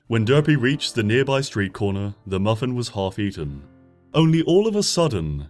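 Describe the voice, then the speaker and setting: A man narrating a story from a script in an expressive, measured audiobook style, with music playing behind him. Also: on the low side. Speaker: fan fiction reader, narrator. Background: background music.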